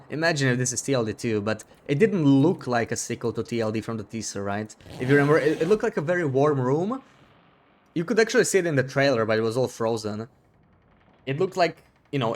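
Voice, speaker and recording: Male streamer, casual chat at a desk mic, mid-pitched voice, muses aloud and repeats himself. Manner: thinks aloud, with frequent restarts and repeats. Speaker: live streamer. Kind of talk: casual gameplay chat, reading viewer comments.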